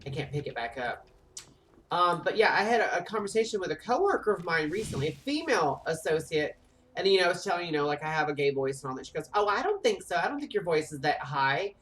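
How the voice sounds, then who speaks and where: A man in his thirties with a light, high voice, telling stories in animated, chatty gameplay talk. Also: quick pace, slight background noise. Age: 30s. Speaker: streamer. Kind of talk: casual gameplay chat. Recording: voice a bit off-mic with faint game music.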